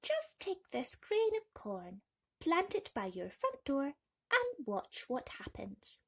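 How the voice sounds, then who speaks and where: A woman voicing a wise, helpful old village woman in a bedtime story, slow and reassuring. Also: high-pitched, wildly swooping pitch, a bit bright, pitched above the performer's own voice, full character voice.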